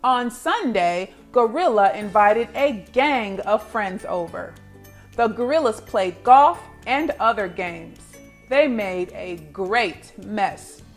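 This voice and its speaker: A woman teacher giving a read-aloud lesson for young children, with clear instructional delivery and expressive storybook narration. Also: medium pitch, slow pace, faint music behind.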